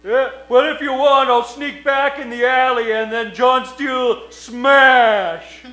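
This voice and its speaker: Slow high-voiced brutish henchman. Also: steady tone, pushed and bright, heavily acted. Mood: dim, eager. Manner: slow, simple-minded broken speech. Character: hulking, dim-witted, brutish male henchman. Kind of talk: comedic old-time radio play acting. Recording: roomy stage, faint music.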